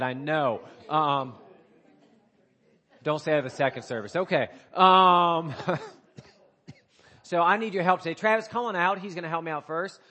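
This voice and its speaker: A man serving as pastor, preaching to his congregation with a scratchy voice from a coming cold, talking haltingly with many fillers and restarts. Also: on the high side, pushed and bright. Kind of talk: sermon. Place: church sanctuary.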